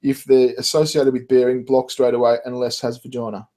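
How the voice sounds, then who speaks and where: A man's voice: medium pitch, level tone, a little fast, dark tone. A man with an Australian accent hosting a casual online chat, swearing freely and laughing often. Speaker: podcast host.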